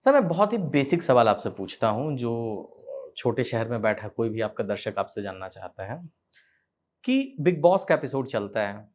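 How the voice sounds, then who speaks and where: A man's voice: mid-range voice, big pitch swings, normal speed. Male interviewer, Indian accent, average register, courteous and deferential, asks detailed questions conversationally. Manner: polite, deferential.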